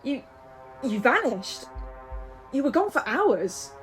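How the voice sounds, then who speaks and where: An actress playing a frightened, guilt-ridden young woman in an audio drama, speaking in halting, broken-off phrases. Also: high-pitched, very animated, heavily acted. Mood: distressed, pleading. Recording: music behind.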